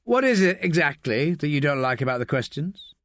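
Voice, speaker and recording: A man voicing a persistent foreign investigator who presses with calm, probing questions. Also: on the high side, brisk, no echo, clean studio sound. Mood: cool, insistent.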